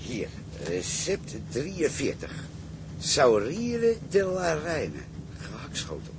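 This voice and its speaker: An actor playing a cocky, cheerful sailor turned ship's cook, breezy and slangy, often muttering to himself. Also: male voice, fairly high pitch, huge pitch swings, slow, noisy background, heavily acted. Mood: muttering, improvising. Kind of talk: comic seafaring radio drama acting.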